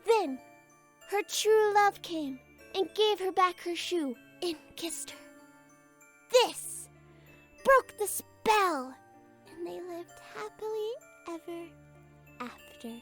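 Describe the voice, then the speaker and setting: A woman's voice: very high-pitched, lively intonation, slow, pauses often, soft background music, heavily acted. A woman voicing a sweet, eager young girl camper in a light-hearted audio drama, gushing with childlike enthusiasm. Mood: earnest storytelling.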